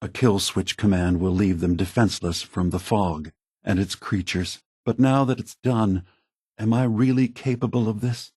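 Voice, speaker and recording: A man voicing a calm, remorseful old synthetic android leader in a video game, speaking in a measured, grave, deliberate way. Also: fairly low pitch, breathy edge, no room sound, clean studio sound. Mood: troubled, guilty.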